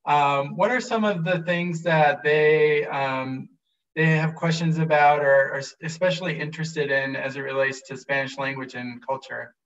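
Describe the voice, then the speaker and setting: Male host, 40s, raised pitch, dull distant webcam sound. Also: steady tone, normal speed, a bit bright. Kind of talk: video call interview. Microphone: laptop webcam video call. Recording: distant, muffled webcam audio.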